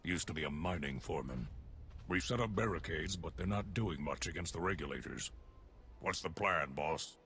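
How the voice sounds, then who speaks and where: An actor playing a gruff, wry ex-foreman turned militia fighter in a video game, blunt and gravelly. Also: rumbling low, lively intonation, normal speed, fairly bright tone, slight background noise, full character voice. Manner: blunt, sardonic, plain-spoken. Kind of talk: video game cutscene acting.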